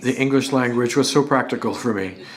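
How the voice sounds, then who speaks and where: A man giving a live interpretation of a lecture in a halting delivery, often restarting and repeating words as he goes. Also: mid-range voice.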